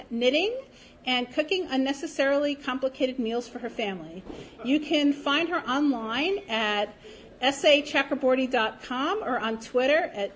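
Woman host, high voice, chatty intro, rambling asides. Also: fairly bright tone. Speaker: event host. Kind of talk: casual introduction at a reading series.

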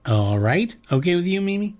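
A man hosting a radio talk show, chatting in a rambling, enthusiastic way and making a pledge-drive pitch from the studio. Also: big pitch swings, normal speed, darkish tone.

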